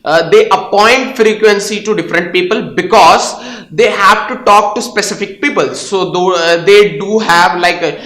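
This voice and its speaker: A man's voice: high voice, moderate pace, pushed and bright. A young Indian man with an Indian English accent, hosting a casual tech explainer video and talking hesitantly with many fillers and restarts. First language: Hindi or Tamil. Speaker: tech channel host. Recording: echoey room.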